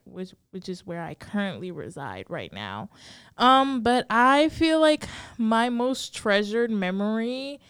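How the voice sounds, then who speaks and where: A young woman from the Bahamas answers interview questions casually, chatty and peppered with likes and ums. Her voice is very crisp and clear, recorded close and dry. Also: mid-range voice, no echo. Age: young adult. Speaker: interview guest.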